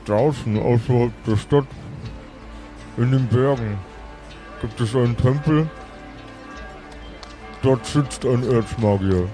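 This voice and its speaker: Frail undead old local played by a man: soft-toned, courteous, helpful, unhurried, for a fantasy tabletop play. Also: medium pitch, soft background music, natural voice. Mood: mild, helpful. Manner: halting, polite, unhurried.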